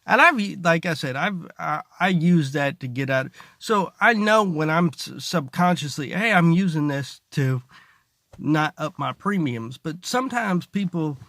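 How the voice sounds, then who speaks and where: A man with a creaky voice giving a casual, rambling, sarcastic comedy monologue, recorded in a car. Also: a little high, lively intonation, unhurried, sharp tone, no echo, clean studio sound. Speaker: stand-up comedian, vlogger. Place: inside a parked car.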